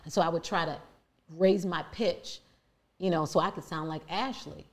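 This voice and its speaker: A woman with a deep voice telling her personal story candidly and emphatically, with many fillers and restarts. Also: normal speed. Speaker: guest.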